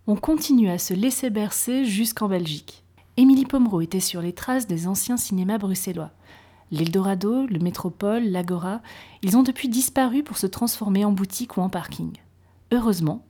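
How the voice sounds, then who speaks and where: A woman radio presenter reading a scripted introduction to a report, smooth and measured. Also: mid-range voice, mellow. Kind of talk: scripted news narration.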